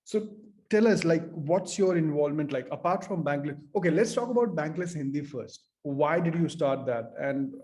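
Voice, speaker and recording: A man's voice: a little high, animated, moderate pace, few pauses, darkish tone. A man from India hosting a casual podcast interview, asking long, rambling questions. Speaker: podcast host.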